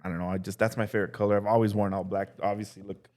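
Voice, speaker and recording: A young male teacher telling casual stories as a podcast guest, relaxed and full of "like" and "dude". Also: lowish voice, very fast. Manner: relaxed, heavy use of "like" and "dude". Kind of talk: casual storytelling chat.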